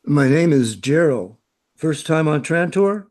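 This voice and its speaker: A man's voice: fairly high pitch, expressive, measured pace, a little mellow. A man acting in a radio drama as a chatty, knowing, worldly man with a breezy, opinionated way of talking.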